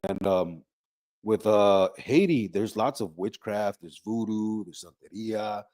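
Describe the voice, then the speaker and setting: A man's voice: lowish voice, slow, a little mellow. Hesitant man interviewing a guest. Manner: hesitant, many ums and restarts. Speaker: host. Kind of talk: casual interview.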